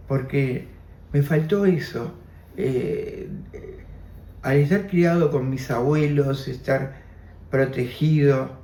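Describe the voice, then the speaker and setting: Slow-spoken older man with an Argentine accent. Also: a little high, dark tone, echoey room. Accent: Argentine Spanish. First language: Armenian. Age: 70s. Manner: slow, reflective, repeats phrases. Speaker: interviewee. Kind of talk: oral history testimony.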